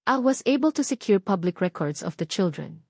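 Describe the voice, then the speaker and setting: A woman's voice: mid-range voice, sing-song, moderate pace, a little mellow, slightly rough, no room sound. Woman reading as a formal Filipino investigator, dry. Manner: formal, matter-of-fact. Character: businesslike male investigator reporting to his boss. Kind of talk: formal report read in English inside a story.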